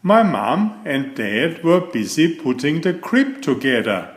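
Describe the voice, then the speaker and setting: A proud young bear cub from a storybook, read by a man, eager and emphatic. The pitch swings widely, the words come slowly, and the room has an audible echo. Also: sing-song. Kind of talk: children's storybook character voice. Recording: echoey room.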